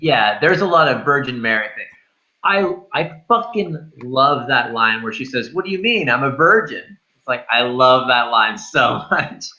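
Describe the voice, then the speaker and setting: Bright-voiced man talking movies, slightly dull sound. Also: medium pitch, lively intonation, unhurried. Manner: searching, repetitive, sweary. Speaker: film review show host. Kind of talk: casual movie discussion.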